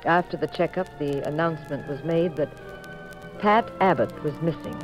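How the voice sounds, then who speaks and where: An actress playing a witty, affectionate detective's wife in a mid-century radio mystery, speaking with wry poise. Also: female voice, low voice, moderate pace, natural voice, rough recording. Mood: wry narration. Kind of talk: mid-century radio drama acting and narration. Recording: old radio recording with music.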